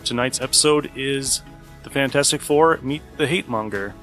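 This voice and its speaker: A man's voice: mid-range voice, moderate pace, a bit bright, soft background music. A man hosting a podcast, introducing an old radio play in a loose, joking way. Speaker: podcast host.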